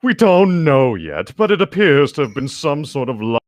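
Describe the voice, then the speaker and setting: Man acting out a male vampire, solemn, foppish, brooding, slow dramatic phrasing, close dry sound, fan fiction read-aloud. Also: fairly high pitch, big pitch swings, no echo.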